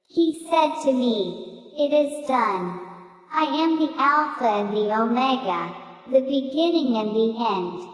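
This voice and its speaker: A woman's synthetic text-to-speech voice giving a formal, deliberate scripted reading of scripture. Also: fairly high pitch. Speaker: scripture reader. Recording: echoey hall with long reverb.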